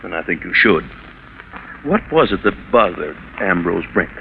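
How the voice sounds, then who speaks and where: An actor playing a composed district attorney's investigator, questioning formally and methodically in 1940s radio style. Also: male voice, low voice, very animated, normal speed, gravelly, heavily acted. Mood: probing, formal. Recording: tinny, noisy 1940s radio broadcast.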